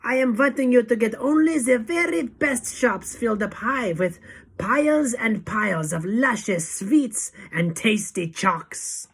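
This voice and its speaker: A woman's voice: mid-range voice, lively intonation, a little slow, creaky, a bit bright, husky, voice pushed up, full character voice. A woman voicing a tiny, fiendish, tyrannical witch leader who screeches and barks commands in mangled grammar for a storybook. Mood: commanding. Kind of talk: dramatic audiobook character acting.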